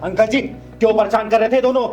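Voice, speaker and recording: A man's voice: high voice, fairly even intonation, fairly quick, music in the background, natural voice. A man playing a fierce, swaggering kinnar vigilante who protects the elderly with boastful, threatening declamations in a melodramatic short film. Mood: defiant.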